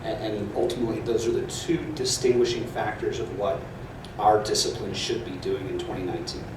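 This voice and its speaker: A man speaking on a conference panel in a measured, earnest way, with frequent fillers. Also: fairly low pitch, little pitch movement, noisy background. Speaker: panelist, public relations professor. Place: large echoey conference hall. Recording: echoey hall audio from far off.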